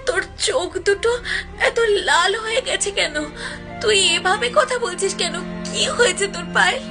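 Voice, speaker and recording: An emotional young village woman played by an actress speaks in a very high, dramatic cartoon voice. She is insistent, and music plays loudly behind her. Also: moderate pace, gravelly. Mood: frightened, tearful. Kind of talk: cartoon voice acting.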